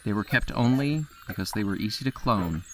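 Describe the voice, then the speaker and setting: A male narrator reads a scripted audio drama. His voice is on the low side, with a bright, forceful edge. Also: animated, a little fast.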